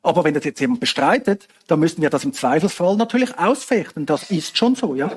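A man's voice: a little high, expressive, fairly quick. A man from Switzerland, answering audience questions after a talk in an explanatory way. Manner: explanatory, hedging asides, frequent fillers.

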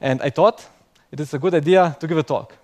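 A man's voice: on the high side, a little fast, a little mellow. Self-mocking male conference speaker, non-native. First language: not English. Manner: chatty, self-mocking, many "okay?" tags. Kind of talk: conference talk to a live audience.

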